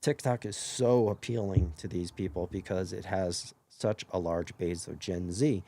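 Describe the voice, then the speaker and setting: A hoarse man hosting his own live stream, talking earnestly in a rambling way and often correcting himself mid-sentence. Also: low-pitched, fairly even intonation, unhurried, dark tone. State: hoarse after illness. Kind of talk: casual live-stream monologue.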